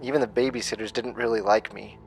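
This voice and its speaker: A man's voice: lowish voice, steady tone, creaky, faint music behind. A man playing a vulnerable young captive in a horror audio drama, speaking hesitantly. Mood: wistful, subdued. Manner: hesitant, halting, subdued. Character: neglected, frightened young man held captive, groggy.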